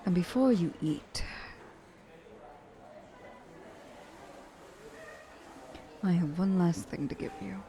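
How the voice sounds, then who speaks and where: A woman's voice: lowish voice, expressive, normal speed, mellow. A woman voicing an ancient, seductive, possessive vampire trillionaire in an intimate, poised ASMR roleplay. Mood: teasing, possessive.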